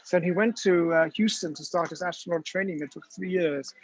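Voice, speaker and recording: A man's voice: high-pitched, fairly quick, husky, dry recording. A man giving a storytelling lecture with slides, talking conversationally with many ums and restarts, in a dull, dry lo-fi recording.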